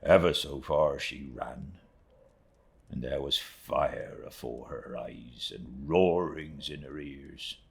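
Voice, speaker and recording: A man's voice: very deep voice, slow pace, creaky, heavily acted. A man voicing a humble, devout old seafaring uncle in an audiobook, with a rustic East Anglian dialect, earnest and plain-spoken. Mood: grave, haunted. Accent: rustic East Anglian English dialect. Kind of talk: audiobook character reading.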